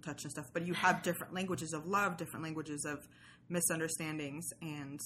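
Low-pitched woman chatting, noisy recording. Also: fairly even intonation, fairly quick. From Sweden. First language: Swedish. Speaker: podcaster and writer, interview guest. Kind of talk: casual interview chat.

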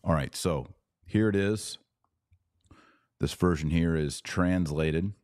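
A man with a very low voice talks at an unhurried pace, giving sardonic, emphatic commentary on his own podcast. The recording is close and dry, with no room sound. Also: a little mellow, no echo. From Texas. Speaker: podcast host. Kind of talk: opinionated solo commentary.

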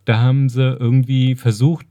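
A man's voice: mid-range voice, little pitch movement, normal speed, warm tone, highs cut off. A middle-aged man co-hosting a podcast, giving his opinions in casual, colloquial talk. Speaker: podcast co-host. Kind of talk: casual chat.

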